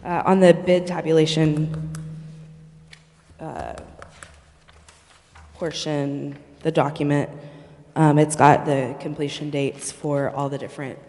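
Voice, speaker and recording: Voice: mid-range voice, steady tone, takes it slowly, pauses often, darkish tone. Hesitant city staffer in a reverberant hall. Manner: hesitant, apologetic. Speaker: staff member presenting bids to a city council. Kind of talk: answering questions in a public meeting. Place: council chamber, indoors. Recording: echoey meeting hall.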